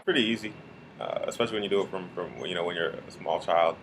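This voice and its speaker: Young canadian guy, really low voice, thoughtful, noisy recording. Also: fast. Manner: thoughtful, explains at length with restarts. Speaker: student interviewee. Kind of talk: casual interview answers.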